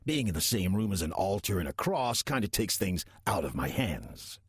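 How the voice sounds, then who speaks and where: An older demon hiding out as a church usher, played by a man, speaks in a low, very husky voice. His sarcasm is dry and deadpan, with plenty of rise and fall, as in a horror audio drama. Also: normal speed, no echo, full character voice. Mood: calm, dry. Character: wry demon posing as a 63-year-old church usher. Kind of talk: audio drama acting with first-person narration.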